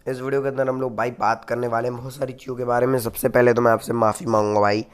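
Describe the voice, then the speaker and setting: A hoarse man in casual vlog commentary, talking close to the mic and chatty. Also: mid-range voice, level tone, very fast. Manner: chatty, says "bhai" often. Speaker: wrestling news channel host.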